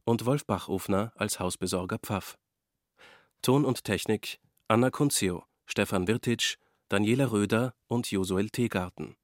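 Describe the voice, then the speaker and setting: A man's voice: fairly low pitch, a little slow, dry recording, clean studio sound. A man reading a formal scripted announcement as a radio announcer.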